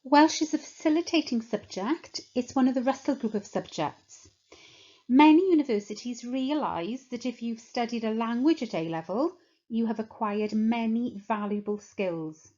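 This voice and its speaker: A woman teacher giving a measured talk that explains a course to prospective students. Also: a little high, warm tone, lo-fi sound. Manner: measured, explanatory, lists points slowly.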